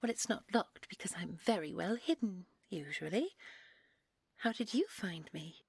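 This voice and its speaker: Fairy aristocrat, played by a woman: prim, vain, naive, lilting and breathy, close dry audio roleplay sound. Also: lowish voice, expressive, normal speed, a little mellow, dry recording, heavily acted. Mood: polite, flustered. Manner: prim, fluttery, hesitant politeness.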